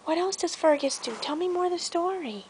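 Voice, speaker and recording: Woman, very high pitch, lively intonation, playful child-directed chat, encouraging questions, storytime prompting. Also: fairly quick, a little hiss or hum. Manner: animated child-directed talk, echoing and encouraging. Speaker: mother reading a picture book with her child.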